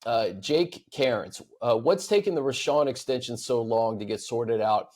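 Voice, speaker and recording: A man's voice: mid-range voice, expressive, a little slow, a little mellow. An American man hosting a sports talk show in a casual, easygoing, conversational style. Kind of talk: casual sports talk, reading fan questions.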